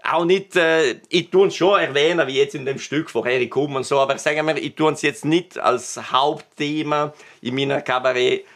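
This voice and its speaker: A man from Italian-speaking Switzerland, a native Italian speaker with a Swiss Italian accent, answering interview questions haltingly with repeated words and tag questions. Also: on the high side, a bit bright. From Bergell, Italian-speaking Switzerland. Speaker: cabaret artist and guest.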